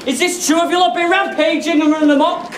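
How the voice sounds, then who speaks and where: Performer as a bossy high-pitched pantomime army sergeant. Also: fairly even intonation, quick pace, crowd noise. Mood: exasperated. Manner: barking, exasperated. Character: bossy army sergeant drilling hapless soldiers. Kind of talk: broad pantomime stage acting. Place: theatre stage before an audience. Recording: echoey theatre, music and audience noise.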